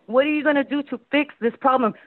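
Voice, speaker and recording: A woman's voice: sits high, fast talker. A woman from Houston, a native Spanish speaker, making an impassioned public comment over the phone and pressing with questions. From Houston, Texas. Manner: urgent, pressing rhetorical questions. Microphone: phone call. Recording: tinny phone line.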